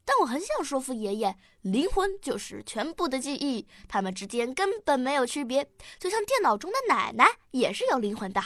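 A woman playing a bright, eager, caring young granddaughter with girlish expressiveness in a radio drama. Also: high-pitched, sing-song, fairly quick, hardly any pauses, no echo, clean studio sound, heavily acted. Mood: earnest, thoughtful.

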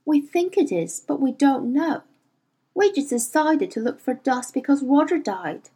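A woman's voice: sits high, expressive, unhurried, mellow, pitched above the performer's own voice. A woman reading an audiobook, voicing a cautious, teasing male animal companion that changes shape. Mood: reproachful.

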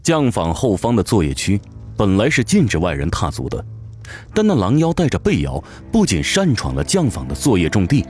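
Male narrator, lowish pitch, hugely varied intonation, theatrical scripted storytelling, music behind. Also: wildly swooping pitch, normal speed, a little mellow. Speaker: audio drama narrator.

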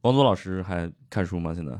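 A man's voice: sits low, quick pace, darkish tone. A man hosting a casual podcast chat, teasing his guests and laughing often as he asks short follow-up questions. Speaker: podcast host.

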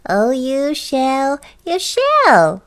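A woman's voice: wildly swooping pitch, extremely slow, fairly bright tone, heavily acted. A woman voicing a cheeky little storybook goblin with a small, teasing, singsong voice. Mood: gleeful teasing. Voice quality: small, high-pitched voice.

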